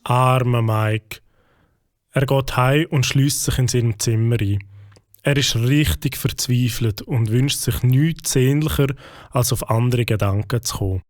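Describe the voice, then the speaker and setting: A man's voice: mid-range voice, unhurried, no room sound, clean studio sound. A man narrating a cartoon, in a sympathetic storytelling manner.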